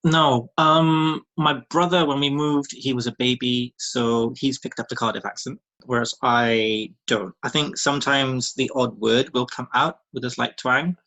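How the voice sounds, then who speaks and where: A man's voice: mid-range voice, steady tone, unhurried, hardly any pauses, bright, forceful tone, poor audio. A British man in his thirties chatting as a podcast guest, rambling with lots of ums and restarts and laughing easily. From Britain. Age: 30s. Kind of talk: casual chat.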